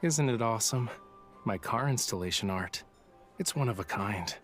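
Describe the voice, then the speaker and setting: A man's voice: fairly low pitch, expressive, moderate pace, fairly bright tone, faint music behind. A man voicing a languid, playful young artist in an English-dubbed romance game, with a nonchalant, coy way of talking. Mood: playful, teasing. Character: languid, teasing young male artist with a secretive streak.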